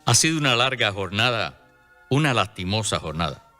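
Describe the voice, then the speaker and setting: An actor playing a concerned, loyal hospital friar in a radio drama, solicitous in manner. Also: male voice, on the low side, sing-song, moderate pace, sharp tone, soft background music. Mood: sympathetic.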